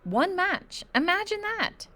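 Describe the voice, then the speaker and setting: A kindly outdoorsy father in a children's storybook, read by a woman. He is proud and gently persuasive, with animated, swooping intonation. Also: extremely high pitch, measured pace, voice pushed up, full character voice.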